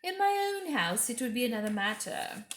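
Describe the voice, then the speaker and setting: A woman voicing a scheming, falsely sweet evil queen in a storybook reading, coaxing in a slow, regal manner. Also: a little high, big pitch swings, a little hiss or hum. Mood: luring, sly. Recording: roomy echo.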